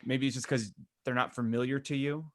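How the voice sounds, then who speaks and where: A man's voice: mid-range voice, steady tone, a little fast. A man co-hosting a casual group podcast, thinking aloud in an informal, rambling way. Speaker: podcast co-host.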